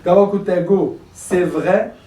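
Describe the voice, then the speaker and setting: A man's voice: sits high, slow, warm tone. A man teaching French in a classroom, drilling phrases with students and switching between languages as he explains. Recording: echoey noisy classroom, distant mic.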